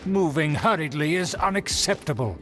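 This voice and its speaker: An actor playing a haughty, pompous aristocrat in an anime-style game, formal and condescending. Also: male voice, a little high, expressive, normal speed, fairly bright tone. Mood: smug. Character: haughty, pompous male aristocrat of a fallen noble clan. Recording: faint background music.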